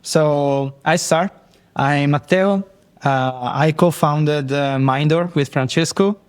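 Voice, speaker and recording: A man with an Italian accent, a software engineer guest, speaking hesitantly with many fillers and repeats. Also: on the high side, takes it slowly, smooth. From Italy. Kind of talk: casual interview talk.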